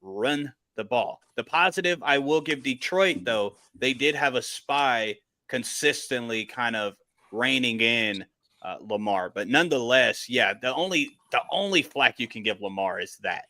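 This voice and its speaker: A man's voice: medium pitch, animated, measured pace, bright, forceful tone, no room sound. A man leading a casual sports debate, speaking emphatically and thinking aloud as he repeats his points.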